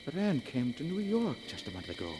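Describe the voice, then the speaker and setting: An actor playing a lovestruck, easily shaken husband in a dramatic, emphatic 1940s radio acting style. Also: male voice, medium pitch, wildly swooping pitch, brisk. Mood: shocked, frantic. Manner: dramatic, emphatic, repeats himself in disbelief. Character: lovestruck husband, growing shaken and stubbornly disbelieving. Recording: noisy vintage 1940s radio recording, organ behind.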